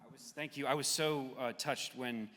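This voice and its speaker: A man hosting a live audience discussion, speaking off the cuff in a searching, halting way. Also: mid-range voice, a bit bright. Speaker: host moderating an audience discussion. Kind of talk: spontaneous discussion moderating. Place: large hall at a live public event. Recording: echoey hall with long reverb.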